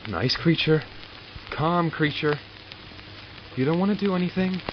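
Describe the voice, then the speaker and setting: A man's voice: a little high, a little slow, natural voice. Man playing an exasperated doctor, muffled noisy recording. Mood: panicked, pleading. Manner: clinical, sardonic, self-talking. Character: arrogant, exasperated male doctor studying supernatural captives. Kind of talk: audio drama acting. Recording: muffled, with loud background noise.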